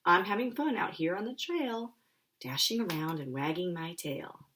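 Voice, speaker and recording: A woman's voice: fairly low pitch, lively intonation, normal speed, a bit bright, slight background noise. Woman reading as a cheerful, eager storybook dog. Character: cheerful, friendly, misunderstood growling dog, male. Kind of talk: children's picture book read aloud.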